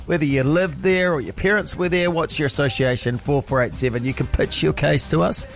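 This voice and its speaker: A man's voice: on the high side, brisk, soft background music. A man hosting a radio show, bantering casually and chatting with callers. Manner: lively, chatty, laughing. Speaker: radio host.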